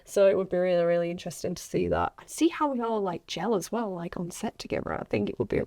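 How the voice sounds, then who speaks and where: A woman's voice: lowish voice, lively intonation, fast, dark tone. A young woman, an actress, chatting casually on a podcast in a bubbly, giggly, informal way. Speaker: actress being interviewed.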